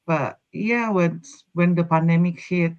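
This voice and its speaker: Middle-aged woman, slow and halting, lots of fillers, dull lo-fi audio. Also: fairly low pitch, a little mellow. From Indonesia. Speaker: guest.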